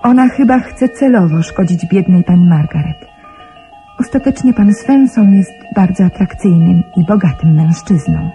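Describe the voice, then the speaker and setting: A woman's voice: fairly low pitch, animated, moderate pace, over music, close to an everyday voice. An actress playing a conscientious, earnest young woman pharmacist in a Polish radio play. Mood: firm, troubled. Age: young adult.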